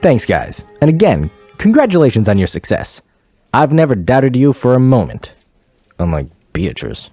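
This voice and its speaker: An actor playing a smug, opinionated conservative local news anchor, blunt and condescending in a satirical newscast. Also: male voice, medium pitch, wildly swooping pitch, moderate pace, warm tone, dry recording, clean studio sound, soft background music.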